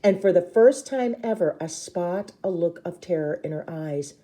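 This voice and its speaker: A woman reading a children's novel aloud like a teacher, with expressive emphasis and brief explanations. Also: on the low side, normal speed.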